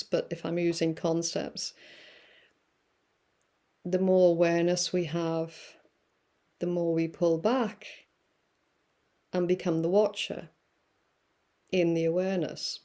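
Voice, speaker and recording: A woman guest musing through spiritual ideas, with many fillers and pauses. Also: on the low side, little pitch movement, dark tone. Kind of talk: reflective spiritual talk.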